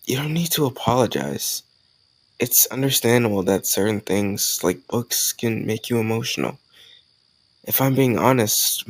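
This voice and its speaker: A man playing an easygoing male college student who chats casually and teases gently in an intimate romantic audio roleplay. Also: on the low side, no echo. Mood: soft, sincere. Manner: casual, conversational, gently teasing.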